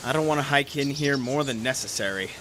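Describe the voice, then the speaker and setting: A man's voice: medium pitch, a little fast, fairly bright tone, a little hiss or hum, natural voice. A man acting an uneasy, sarcastic sidekick in an audio horror drama. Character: uneasy, sarcastic male sidekick on repair trip.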